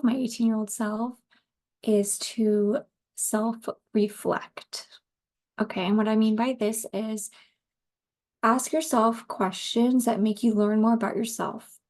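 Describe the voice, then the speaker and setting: Casting: woman podcaster, thirties, light higher voice with a soft, relaxed tone, informal and rambling. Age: 30s. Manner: informal, rambling, laughs easily, occasional swearing. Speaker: podcast host. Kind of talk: casual solo podcast chat.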